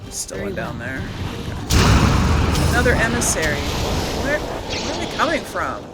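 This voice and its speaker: A woman's voice: medium pitch, wildly swooping pitch, measured pace, loud music behind the voice. A woman hosting a gameplay video, thinking aloud in casual commentary as she plays. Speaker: gaming video host. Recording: clear noise behind voice.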